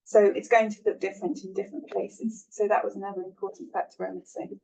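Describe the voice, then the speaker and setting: A woman speaks on a lecture panel, explaining calmly and in a measured way. Her voice sits at a middle pitch. She is picked up from some distance. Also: vocal fry, dull audio. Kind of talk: answering questions at a lecture panel. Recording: distant mic.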